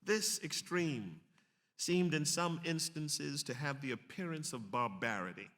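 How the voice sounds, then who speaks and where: Forceful higher-voiced man declaiming in a hall. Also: animated, unhurried. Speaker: actor giving a dramatic reading. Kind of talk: theatrical dramatic reading of a historical text. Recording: echoey hall with long reverb.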